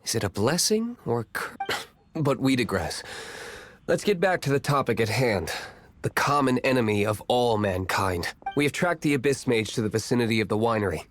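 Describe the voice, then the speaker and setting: An actor playing an aloof, wealthy young nobleman in a video game, terse and measured. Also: mid-range voice, animated, lightly acted. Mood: cool. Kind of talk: video game dub acting.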